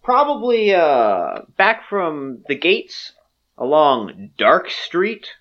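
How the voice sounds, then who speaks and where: A woman's voice: low voice, wildly swooping pitch, drawn-out words, lo-fi sound, exaggerated voice. A woman doing a flustered bartender. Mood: puzzled. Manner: flustered and evasive. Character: mild, puzzled male bartender.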